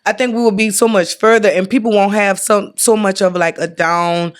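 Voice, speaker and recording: A woman in her thirties, acting as guest host of a casual podcast chat, talking in an animated, slangy and emphatic way. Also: mid-range voice, moderate pace, clean tone. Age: 30s. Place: indoor podcast set, drinking.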